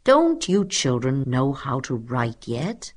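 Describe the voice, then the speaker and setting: A woman's voice: rumbling low, huge pitch swings, slow pace, full character voice. A woman voicing a small, dapper male substitute teacher in a children's storybook, pompous, professorial and commanding. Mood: snappy, disgusted.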